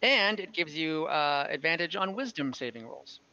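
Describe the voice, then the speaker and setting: Bright-voiced game master, halting delivery. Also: mid-range voice, expressive. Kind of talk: explaining items in an online tabletop game. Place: video call from home. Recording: dull lo-fi call audio.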